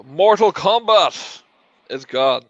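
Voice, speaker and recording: A man's voice: sits high, animated, takes it slowly, a bit bright, muffled recording. A man hosting a film tournament talk show with casual banter, introducing and moving things along.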